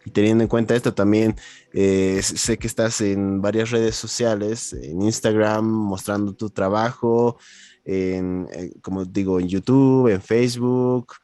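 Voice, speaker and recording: A man's voice: on the low side, fairly even intonation, a little slow, warm tone, dry recording. A man interviewing as a podcast host, affirming his guest and feeling his way through wordy sentences. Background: faint background music.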